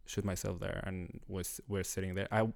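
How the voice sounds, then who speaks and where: A young man from Syria, his voice sitting on the lower side and full of gravelly fry. He tells his story in a relaxed chat as a guest, often pausing to find the right English word and breaking into laughter. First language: Arabic. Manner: halting, searching for words, laughs often.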